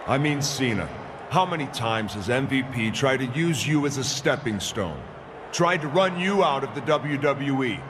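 An actor playing a smug, scheming wrestling villain in authority, taunting and deliberate in his delivery. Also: medium pitch, lively intonation, background noise, natural voice. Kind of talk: wrestling promo acting.

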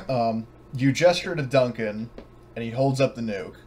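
A man narrating an online tabletop roleplaying game as game master, sometimes halting, over voice chat. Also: medium pitch, expressive.

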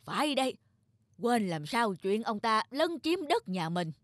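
A spiteful, quarrelsome older cartoon neighbor. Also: male voice, high voice, big pitch swings, unhurried, a bit bright, dry recording, studio quality, exaggerated voice. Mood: indignant. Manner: scornful, snapping. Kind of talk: cartoon voice acting.

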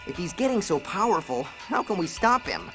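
Cartoon game hero: a determined teen boy, wildly varied pitch, casual, loud music behind. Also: sing-song, fairly quick. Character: bold teenage ghost boy hero. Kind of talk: cartoon video game acting.

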